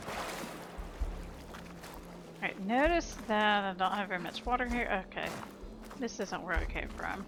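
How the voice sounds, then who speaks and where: A woman's voice: mid-range voice, lively intonation, unhurried, long pauses, noisy background. A woman giving casual, self-deprecating gameplay commentary, thinking aloud informally as she plays. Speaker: gamer, let's play host. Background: game music and sounds.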